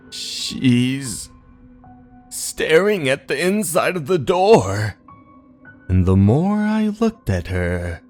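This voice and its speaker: A man's voice: fairly low pitch, a little mellow. A man narrating scripted horror stories in a dramatic, suspenseful manner. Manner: slow, dramatic, suspenseful delivery. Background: background music.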